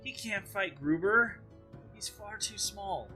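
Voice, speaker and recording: Man playing an excitable, bossy young child, high-pitched. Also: takes it slowly, music in the background. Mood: dismissive. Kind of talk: dramatized children's read-aloud.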